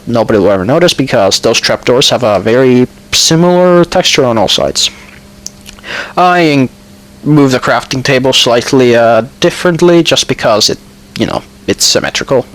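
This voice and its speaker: A man with a Finnish accent talks over his gameplay in a loose, rambling way, full of ums and restarts. His pitch sits mid-range but bounces around a lot, and dry little jokes slip in between the explanations. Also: low-quality recording. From Finland. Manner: rambling, hesitant, full of ums and self-corrections, with dry asides. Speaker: gamer narrating his building.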